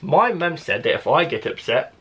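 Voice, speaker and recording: A man voicing a kind, caring young schoolboy from a children's storybook, gentle and earnest. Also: fairly high pitch, very animated, moderate pace, noisy background. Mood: reassuring.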